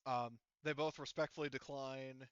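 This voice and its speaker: Man, game master, conversational, hesitant phrasing, clean smooth tone, slightly dull dry recording. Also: medium pitch, steady tone, dry recording. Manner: halting, searching for words. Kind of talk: running a tabletop roleplaying session, conversational.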